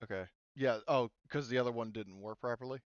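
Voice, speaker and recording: A man's voice: mid-range voice, fairly quick, clean tone, no room sound, dull audio. A man running a tabletop game as game master, explaining rules and thinking aloud in a casual way.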